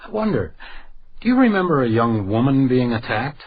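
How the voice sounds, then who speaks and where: An actor playing a polite, probing hotel house detective in a radio drama, questioning in a measured, courteous way. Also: male voice, medium pitch, sing-song, narrow, tinny audio, a little hiss or hum, close to an everyday voice.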